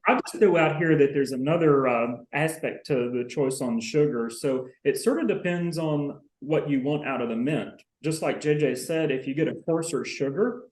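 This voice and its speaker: A man's voice: fairly high pitch, moderate pace, few pauses, darkish tone, roomy sound, low-quality recording. A man on a video call from his home, explaining a cocktail technique in a thoughtful, methodical way. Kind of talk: casual video call chat. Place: home in Miami.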